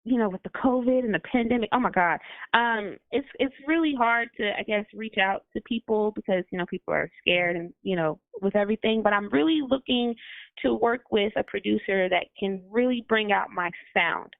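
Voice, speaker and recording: A woman's voice: medium pitch, normal speed, vocal fry, no room sound. Woman singer on a tinny call line. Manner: chatty, apologetic, laughs often. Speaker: guest musician. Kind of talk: casual interview answers.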